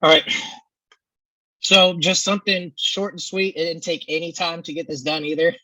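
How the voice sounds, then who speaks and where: A man talks through marketing updates at a team meeting in a loose, filler-heavy way. His voice is bright and close, with a dry sound. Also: fairly high pitch, steady tone, moderate pace, no echo, rough recording. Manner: casual, lots of ums and fillers.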